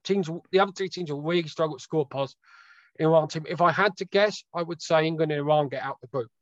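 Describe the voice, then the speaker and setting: A man's voice: fairly high pitch, fast, no room sound, lo-fi sound. A man from Wales, a podcast guest chatting casually about football over a video call, rambling and correcting himself with dry humour.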